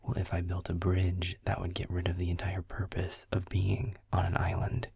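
Guy doing a grumpy werewolf boyfriend, real low and super creaky, muttering and swearing like he's fed up, audio rp. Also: monotone, normal speed, darkish tone, no echo, full character voice. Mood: grudging, muttering. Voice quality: gravelly, breathy near-whisper. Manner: muttering, grudging, sarcastic, sweary. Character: grumpy, irritable young male werewolf boyfriend. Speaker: audio roleplay performer. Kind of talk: intimate audio roleplay over gameplay.

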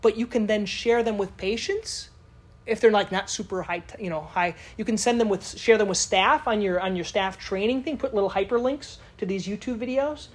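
A woman's voice: medium pitch, normal speed. Chatty woman giving a lecture. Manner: casual, chatty, asks the audience questions. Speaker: conference speaker. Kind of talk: live lecture to an audience. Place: conference room.

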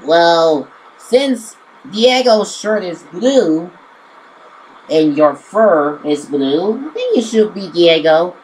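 A woman voicing an excitable, grumbling cartoon sea sponge in an amateur storytime skit, dramatic and full of complaint. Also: fairly low pitch, slow, slight background noise, rough recording. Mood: narrating. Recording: game music behind the voice.

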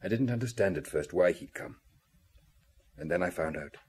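Low, very husky guilt-ridden man. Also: expressive, moderate pace, darkish tone. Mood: bitter, troubled. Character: guilt-ridden middle-aged family man confessing an old killing. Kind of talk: radio drama acting.

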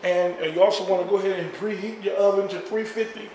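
A middle-aged man co-hosting a home cooking demonstration, talking in a relaxed, playful way with many fillers and repeated words. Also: sits high, fast, a little hiss or hum. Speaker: cooking show co-host. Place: home kitchen, indoors. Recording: echoey kitchen, faint ambience.

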